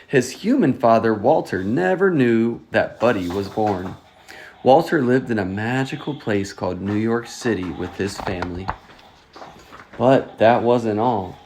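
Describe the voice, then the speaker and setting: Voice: mid-range voice, lively intonation, unhurried, darkish tone. Storybook reader with background noise. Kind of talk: scripted storybook read-aloud narration.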